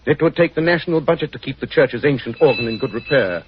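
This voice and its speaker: An actor playing a shrewd, dry gentleman detective, clipped and wry, in 1940s radio acting style. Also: mid-range voice, lively intonation, fast, a little hiss or hum, close to an everyday voice. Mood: wry, composed. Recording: dull, muffled 1940s radio recording.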